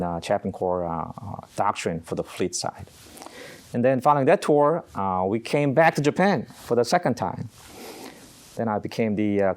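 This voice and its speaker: Middle-aged man from Korea, Navy chaplain guest, lowish voice, halting with uh pauses. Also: a little mellow. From South Korea. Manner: unhurried, halting with frequent uh pauses. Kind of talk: conversational interview answers.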